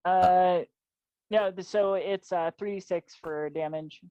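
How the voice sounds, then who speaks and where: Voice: medium pitch, steady tone, slow, mellow, clean tone, dull audio. A game master talking casually at the table, answering players and ruling on their moves.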